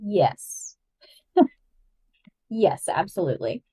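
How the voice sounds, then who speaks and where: A woman's voice: on the low side, big pitch swings, extremely slow, warm tone, breathy edge, recorded from a distance, rough recording. A woman guest-hosting a podcast, explaining verse and meter with eager, nerdy enthusiasm. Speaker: guest host. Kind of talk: podcast discussion.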